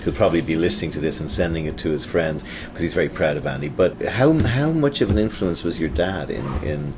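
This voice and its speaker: A man's voice: a bass voice, animated, fairly quick, noisy background. A male podcast host in casual chat, interviewing a guest with chatty questions and frequent affirming replies. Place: noisy hotel.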